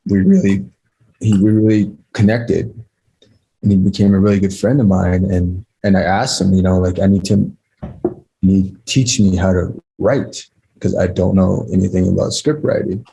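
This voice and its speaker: A man with a low, husky, gravelly voice. He tells a personal story conversationally, as a guest on a panel. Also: mellow, rough recording. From Navajo reservation, United States. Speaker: actor and filmmaker guest.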